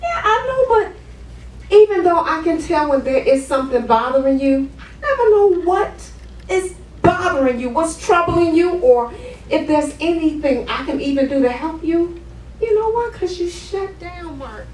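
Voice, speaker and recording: Lady playing an emotional stage play wife, exasperated but caring, swoopy high voice, echoey room. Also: a little slow. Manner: emphatic, expressive. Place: living-room stage set indoors. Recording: roomy, distant camera mic, background noise.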